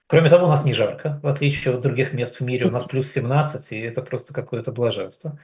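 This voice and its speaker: A man from Russia speaks as a guest commentator in a mid-range voice. He explains things conversationally, with a measured delivery and a wry, dryly joking touch. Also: mid-range voice, a little mellow. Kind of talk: conversational analysis.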